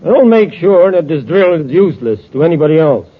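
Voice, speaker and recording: An actor playing a scheming, confident villain in a 1950s radio serial, smug and sardonic. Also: male voice, fairly high pitch, big pitch swings, a little fast. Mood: smugly calculating. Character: scheming, confident villain, mocking his partner. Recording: tinny, narrow 1950s radio recording.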